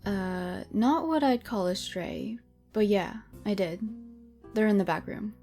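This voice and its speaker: A woman playing a brisk, matter-of-fact young restaurant worker in an audio drama. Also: mid-range voice, expressive, warm tone, faint music behind.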